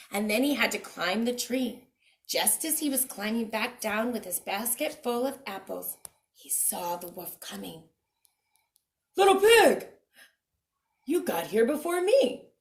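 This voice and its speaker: A woman storyteller reading a children's picture book aloud in an expressive, dramatic way, addressing young listeners. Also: medium pitch, moderate pace, vocal fry. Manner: dramatic, asks the listeners questions.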